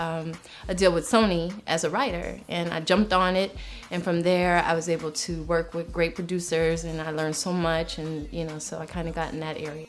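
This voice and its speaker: A woman singer-songwriter talking casually about herself in an interview. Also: on the low side.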